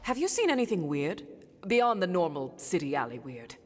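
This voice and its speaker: A woman's voice: on the low side, big pitch swings, normal speed, big-room sound, faint music behind. A woman voicing a tough, no-nonsense hunter in a video game, blunt and dry. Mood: businesslike.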